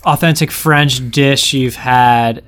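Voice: medium pitch, fairly even intonation, slow pace, a bit bright, clear, resonant tone. A podcast co-host in casual chat, playfully leading the questions and riffing on jokes. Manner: playful, leads the questions, riffs on bits.

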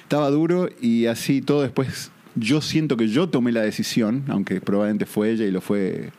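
A middle-aged man from Argentina with an Argentine accent, telling his story in a relaxed, easygoing way as a guest in an office interview. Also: mid-range voice, moderate pace. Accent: Argentine Spanish. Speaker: guest entrepreneur.

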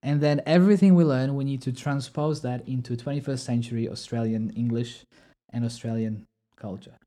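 Guy talking theology on a podcast, mellow low-key tone, walks through it step by step. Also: steady tone, normal speed. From Poland. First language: Polish. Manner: thoughtful, explaining step by step with asides. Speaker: podcast co-host explaining theology. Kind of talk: casual explanatory chat.

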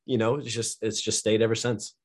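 A man's voice: on the low side, little pitch movement, brisk. A young American man from California, a podcast guest who talks loosely and jokes a lot. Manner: joking, loose and candid, laughs often. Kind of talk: casual interview chat.

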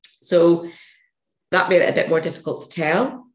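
A woman with a gravelly, hoarse voice, a podcast guest telling a rambling story and correcting herself as she goes. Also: on the low side, steady tone, moderate pace. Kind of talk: casual interview storytelling. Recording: echoey room.

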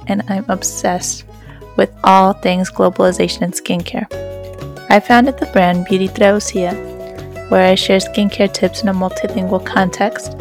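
A woman's voice: medium pitch, a little mellow. Upbeat woman podcast host. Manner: upbeat, enthusiastic, gushing. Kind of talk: scripted podcast intro, then interview chat.

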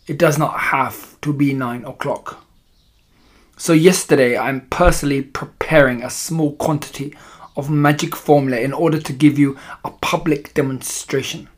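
Male narrator as evil storybook witch, imperious, malevolent, slow emphatic delivery, broken grammar. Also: fairly high pitch, steady tone, natural voice. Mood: gloating, commanding. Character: imperious, malevolent female Grand High Witch plotting against children.

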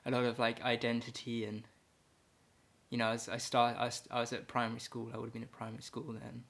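Male poet guest, mid-range voice, musing and unhurried, trails off and restarts, laughs often. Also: mid-range voice, monotone, creaky, a bit bright. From Ecuador and England. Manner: thoughtful, hesitant, many fillers and restarts, laughs easily. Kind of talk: casual reflective conversation.

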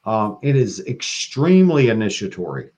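A man's voice: on the low side, sing-song, moderate pace, darkish tone. Flamboyant, profane man on a podcast. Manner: flamboyant and profane, calls others baby and girl. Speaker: guest, occult practitioner. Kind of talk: casual podcast chat.

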